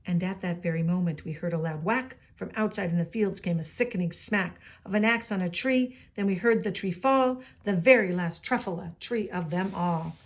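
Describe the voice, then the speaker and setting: A woman's voice: fairly low pitch, moderate pace, hardly any pauses, a little hiss or hum. Woman reading a children's storybook aloud. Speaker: storybook reader. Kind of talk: children's read-aloud with gentle asides.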